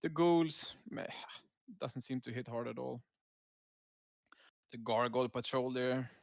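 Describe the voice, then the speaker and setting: A man's voice: mid-range voice, unhurried, gravelly, dry recording, studio quality. A man giving casual, laid-back gameplay commentary as a raid leader, explaining tactics as he goes.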